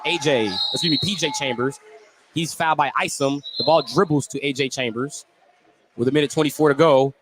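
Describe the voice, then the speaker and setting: A male play-by-play commentator with a fairly high voice calls basketball live on radio. He narrates each movement in descriptive detail, with some gym noise in the background. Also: brisk. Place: courtside broadcast spot in a school gym.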